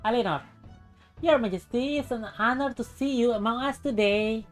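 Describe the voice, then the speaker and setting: A woman's voice: a little high, normal speed, soft background music, voice pushed up, natural voice. A woman voicing a bold, eager female castle cook in a visual novel, effusively courteous. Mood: eager, deferential.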